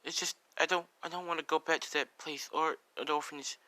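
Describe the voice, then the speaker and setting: A man's voice: mid-range voice, steady tone, quick pace, bright, forceful tone, pitched above the performer's own voice. A man voicing a frightened, meek young runaway boy who pleads and apologises, repeating himself, in amateur storytelling. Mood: desperate pleading.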